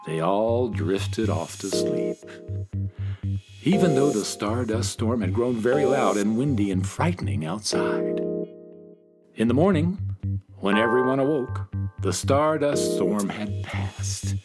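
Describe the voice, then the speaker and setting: A man narrating a children's radio story in an expressive storytelling manner. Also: fairly low pitch, takes it slowly, over loud music.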